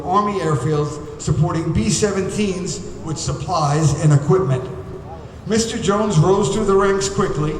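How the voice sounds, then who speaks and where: A man emceeing a ceremony, reading biographies aloud at a podium in a formal, measured way with occasional hesitations. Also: high voice, slight background noise. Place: large museum hall. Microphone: podium microphone. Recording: echoey hall, voice from far off.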